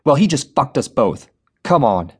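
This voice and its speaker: Male reader doing a foul-mouthed, barking bank robber; lively, swinging pitch. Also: moderate pace, slightly rough. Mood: bitter. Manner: shouting, vulgar, commanding. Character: violent male bank robber yelling orders.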